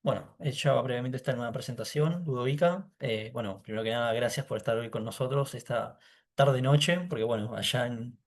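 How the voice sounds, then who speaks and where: Man moderating an online talk, halting, mid-pitched. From Argentina. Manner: hesitant, many fillers. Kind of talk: introducing a speaker. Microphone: video call.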